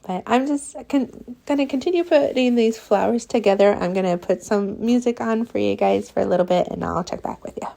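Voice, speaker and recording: A woman in her thirties, hoarse and breathy while recovering from illness, chatting casually as she makes a card and laughing often. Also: medium pitch, a little fast, few pauses, dark tone, a little hiss or hum. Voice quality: croaky and breathy. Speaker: card-making crafter. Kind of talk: casual crafting vlog.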